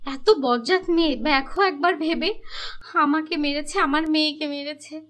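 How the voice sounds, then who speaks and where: A woman's voice: high voice, moderate pace, natural voice. A woman voicing a scheming, self-pitying stepmother in a cartoon, whiny and melodramatic. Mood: accusing.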